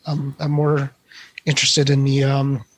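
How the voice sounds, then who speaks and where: A man with a husky, medium-pitched voice co-hosts a casual tech podcast. He thinks aloud hesitantly, sometimes letting phrases trail off. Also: monotone, unhurried, no echo, poor audio. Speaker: podcast co-host.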